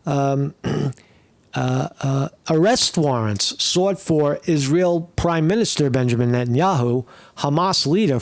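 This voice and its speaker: A man's voice: a little high, no room sound. Rambling man with a dry close voice. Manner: meandering, profane, many fillers and restarts. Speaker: commentary streamer. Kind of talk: rambling casual commentary over videos.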